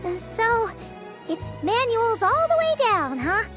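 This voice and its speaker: Tiny fairy sidekick from a game, super squeaky, whiny and dramatic, bounces all over. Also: female voice, very animated, takes it slowly, a bit bright, soft background music, heavily acted. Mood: doubtful, curious. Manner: exclamatory, whiny, theatrical. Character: small excitable girlish fairy companion, chatty, easily grossed out. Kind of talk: video game voice acting.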